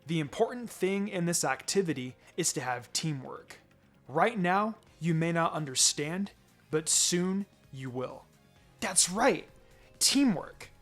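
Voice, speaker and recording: A man's voice: on the high side, lively intonation, slow, fairly bright tone. A man reading a scripted first-person fan fiction story as its narrator. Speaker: story reader. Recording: music behind the voice.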